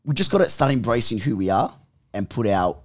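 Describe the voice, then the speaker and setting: A man's voice: mid-range voice, big pitch swings, a little fast, dry recording, clean studio sound. A man hosting a podcast, talking casually and eagerly prompting his guests. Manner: eager, keeps prompting the others. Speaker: podcast host.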